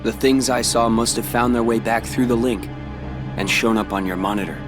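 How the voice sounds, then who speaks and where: A man playing a calm, cool-headed young man in an animated game, measured and matter-of-fact. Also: medium pitch, music in the background, natural voice. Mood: serious. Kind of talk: animated game character acting.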